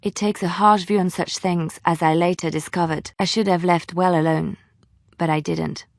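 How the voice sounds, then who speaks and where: Woman acting young bard spy lady for a fantasy game, french accent, measured and earnest, very dry close recording. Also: on the low side, fairly even intonation, dry recording, natural voice. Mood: earnest, regretful. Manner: measured, earnest, formal phrasing. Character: young female bard and former spy, soft-hearted but cunning. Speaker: video game voice actor. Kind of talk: dramatic game dialogue.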